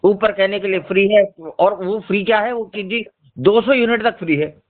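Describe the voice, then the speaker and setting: A man's voice: high voice, moderate pace. A man talking politics casually on a phone call, explaining insistently as a journalist would. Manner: insistent, explanatory, addressing the listener as brother. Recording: tinny, narrowband phone call recording.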